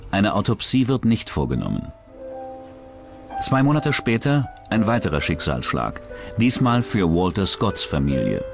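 Guy narrating true crime doc, lowish voice, really varied intonation, bit of music under it. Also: very animated, unhurried, vocal fry. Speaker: documentary narrator.

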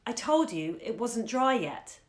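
A woman's voice: medium pitch, sing-song, normal speed, a bit bright. A woman voicing a busy, exasperated mother who scolds sharply and impatiently. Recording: roomy echo.

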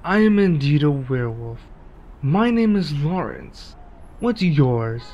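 A man acting a one-sided ASMR roleplay as a laid-back, friendly werewolf local, speaking casually and in a measured way with pauses. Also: on the high side, very animated, mellow, highs cut off.

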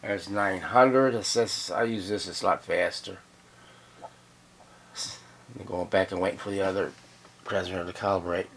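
A middle-aged man giving a casual, halting, folksy hands-on demonstration, thinking aloud at a workbench. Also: low voice, steady tone, creaky. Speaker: radio restorer demonstrating a repair. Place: cluttered indoor electronics workbench. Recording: loud background noise and radio hum.

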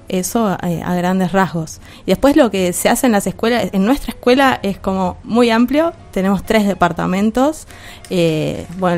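A woman with an Argentine accent explaining things informally in a radio interview, hesitantly and with frequent pauses. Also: fairly low pitch, a little mellow. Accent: Argentine Spanish. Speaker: guest, art school administrator.

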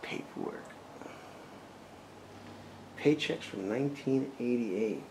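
A man's voice: mid-range voice, animated, takes it slowly, gravelly. An American man in his forties narrating a casual exploring vlog, wry, muttering asides to himself. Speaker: urban explorer vlogger. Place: inside and behind a derelict abandoned house. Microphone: handheld camera mic. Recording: noisy handheld camera audio.

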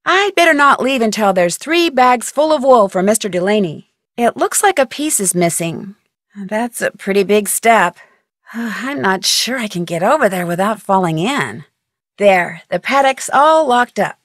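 A woman voicing an inquisitive, polite young female detective in a video game, with expressive delivery. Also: medium pitch, measured pace, no echo, clean studio sound. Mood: matter-of-fact.

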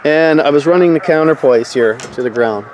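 A man's voice: fairly high pitch, moderate pace, darkish tone. A man, talking casually outdoors as a radio hobbyist and vlogger. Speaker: vlogger and amateur radio operator. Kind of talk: casual outdoor vlog and radio contact talk. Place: outdoors in a grassy backyard. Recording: outdoor camera audio with clear background noise.

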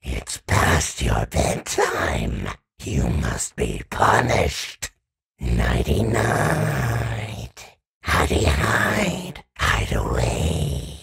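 Very deep gravelly moon robot villain. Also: male voice, big pitch swings, a bit bright, heavily acted. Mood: menacing, taunting. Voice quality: gravelly, low. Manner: slow, menacing, sing-song taunts. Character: sinister, taunting moon-faced daycare robot villain. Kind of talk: video game villain acting.

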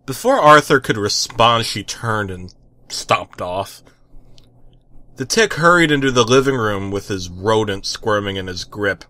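A man's voice: on the low side, lively intonation, a little slow, pushed and bright, no echo. A man narrating a comic story as a dramatic reading.

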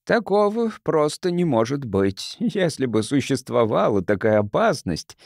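Man reading as a stern, indignant chief councillor, mellow. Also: mid-range voice, sing-song, unhurried, vocal fry, no room sound, studio quality. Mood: explaining. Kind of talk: audiobook character dialogue.

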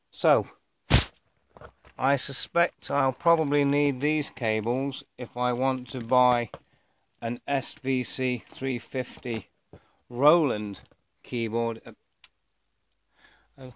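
Middle-aged male vlogger, unhurried and halting, smooth clean voice, casual show-and-tell to a handheld camera. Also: mid-range voice. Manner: halting, rambling, thinking aloud. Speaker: vlogger showing his own gear. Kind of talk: casual unboxing commentary to camera. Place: indoors, bedroom, filming over a bed. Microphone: handheld camera or phone held by the speaker.

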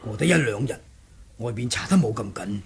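A man's voice: medium pitch, expressive, fairly quick, a crowd in the background, lightly acted. A man with a Hong Kong accent playing a bold, crafty warrior monk in a Cantonese radio drama, teasing and commanding. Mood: scheming.

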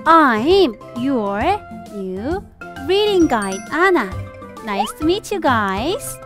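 A young woman from South Korea, a native Korean speaker, teaching English to children as a storybook reading guide in animated, exaggerated teacher talk. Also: sits high, drawn-out words, over music. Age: young adult. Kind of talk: children's storybook English lesson, switching between two languages.

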